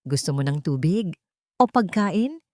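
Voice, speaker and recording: Woman acting a gentle, caring Filipino man. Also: fairly low pitch, expressive, unhurried, no room sound, studio quality. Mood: gentle concern. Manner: gentle, concerned. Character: caring, hurt male protector, gentle and insistent.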